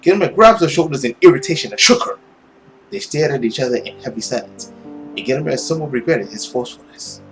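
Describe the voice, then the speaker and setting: Gaming host, theatrical story narration, very animated pitch, middle register, faint music behind. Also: a little fast, low-quality recording. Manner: theatrical reading with casual asides. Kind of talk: expressive narration of a visual novel.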